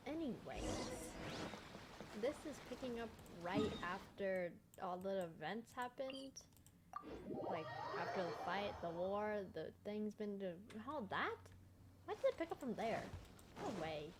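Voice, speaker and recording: A woman's voice: mid-range voice, sing-song, a little slow. A woman reacting casually to a video game as she plays, informal and slangy. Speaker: streamer playing a video game. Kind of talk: casual gameplay reaction commentary. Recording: clear game noise behind the voice. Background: video game sound.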